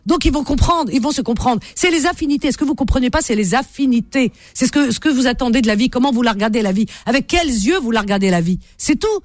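A woman's voice: on the high side, expressive, fast, few pauses, no echo. A woman hosting a radio call-in show, lecturing listeners insistently and repeating her points.

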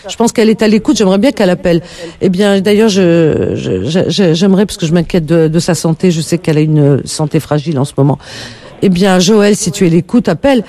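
A woman's voice: lowish voice, brisk, dark tone. A woman hosting a call-in radio show, lecturing her caller in an emphatic, indignant way.

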